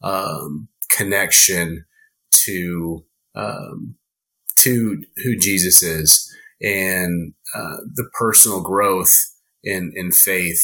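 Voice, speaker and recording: Slow-talking deep-voiced man, halting. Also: creaky, pushed and bright, rough recording. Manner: halting, many fillers and restarts. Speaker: podcast guest. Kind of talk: casual chat.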